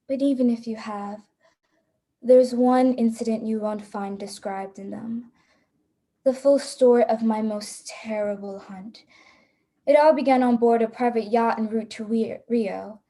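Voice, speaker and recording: A woman playing a worldly, self-assured big-game hunter, telling the story in measured first-person radio drama narration. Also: a little high, fairly even intonation, dark tone, close to an everyday voice. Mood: reflective. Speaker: actor narrating a radio play.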